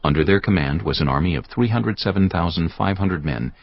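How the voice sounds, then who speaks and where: A man narrating a dramatised scripture reading in a measured, solemn way. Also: deep voice, lively intonation. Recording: slightly muffled with soft music.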